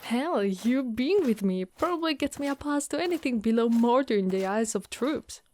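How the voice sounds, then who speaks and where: Soft, mellow headless knight woman, acted by a woman. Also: on the high side, animated, moderate pace, slight background noise, close to an everyday voice. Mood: protective, confident. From Italy. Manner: soft, caring, reassuring. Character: gentle, dutiful undead Dullahan woman warrior, protective and shyly affectionate. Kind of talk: ASMR monster roleplay.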